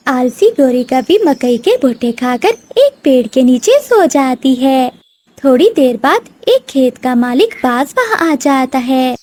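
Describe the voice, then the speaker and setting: A woman narrator with a very high voice reads a children's story. Her pitch moves in a lively, animated way through the scripted telling. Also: a little slow.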